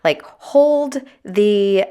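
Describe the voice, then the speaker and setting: A woman with a raspy, hoarse voice, chatting candidly as a homemaking vlogger. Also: mid-range voice, expressive, extremely slow, warm tone. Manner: chatty, candid, a little exasperated. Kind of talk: casual vlog chat.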